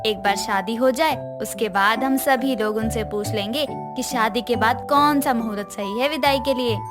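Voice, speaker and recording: A woman's voice: on the high side, normal speed, close to an everyday voice. A woman playing a middle-aged, conciliatory mother of a bride in a story cartoon. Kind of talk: Hindi story cartoon dialogue. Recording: music under voice.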